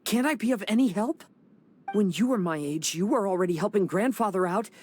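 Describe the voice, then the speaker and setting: A man playing an earnest, dutiful young samurai son in a video game, soft-spoken and reflective. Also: high-pitched, lively intonation, brisk, a little hiss or hum. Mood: pleading, upset. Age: young adult. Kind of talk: dramatic video game voice acting. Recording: music behind the voice. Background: music.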